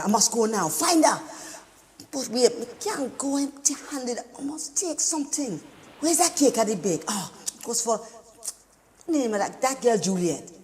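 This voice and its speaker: An actress playing a woman haunted by the loss of a newborn, speaking in a slow, halting stage monologue. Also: mid-range voice, darkish tone, big-room sound, slight background noise, natural voice. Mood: restless.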